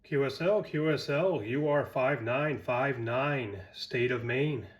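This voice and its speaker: A man's voice: mid-range voice, slow, a little hiss or hum. A man hosting a ham radio vlog, explaining contacts in a folksy, rambling way full of "you know" and "uh". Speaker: ham radio hobbyist and channel host.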